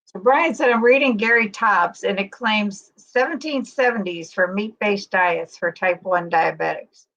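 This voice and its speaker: A woman's voice: mid-range voice, a little slow, fairly bright tone, muffled recording. A woman hosting a live stream, chatting enthusiastically and reading out viewer comments.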